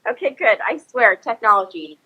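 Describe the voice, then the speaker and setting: A woman's voice: on the high side, expressive, normal speed, bright, forceful tone, husky, rough recording. A woman in her thirties hosting a casual live-stream interview over a video call, slightly sniffly with a cold and chatty with many ums and restarts. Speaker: host of an Instagram live chat. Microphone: phone or laptop, video call.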